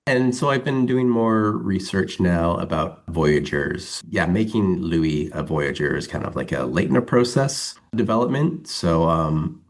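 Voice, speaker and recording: A man's voice: low-pitched, animated, measured pace, hardly any pauses. A man talking casually as an interview guest, rambling a little as he thinks aloud. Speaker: interview guest, comic writer.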